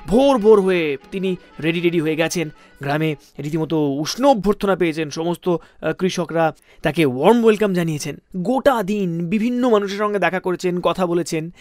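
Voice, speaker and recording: A male radio host with a notably high-pitched voice. He tells stories dramatically, leaning on key phrases and repeating them for effect. Soft music plays faintly behind him. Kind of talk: dramatic anecdotal storytelling for a music radio show.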